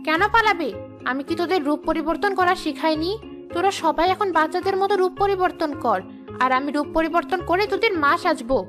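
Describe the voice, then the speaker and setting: Woman as a high-pitched bossy ghost schoolteacher. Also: a little fast. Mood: proud, instructing. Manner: bossy, scolding. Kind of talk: children's cartoon voice acting. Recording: faint cartoon music behind.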